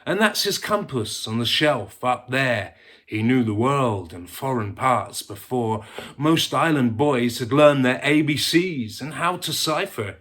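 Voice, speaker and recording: A man's voice: mid-range voice, expressive, a little slow, fairly bright tone. A man voicing a reminiscing old islander in a poem, musing proudly as he tells his story.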